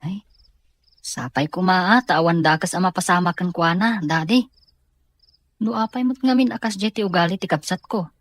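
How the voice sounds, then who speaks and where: A woman's voice: on the low side, normal speed, no room sound, close to an everyday voice. Woman playing a dutiful, reassuring daughter. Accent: Ilocano. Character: dutiful, reassuring grown child of the father. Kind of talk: comedy-drama podcast acting.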